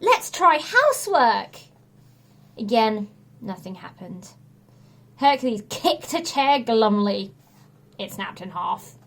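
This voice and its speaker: A woman reading a children's story aloud as a teacher would to a class, with expressive narration. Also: medium pitch, slow, gravelly, pauses often.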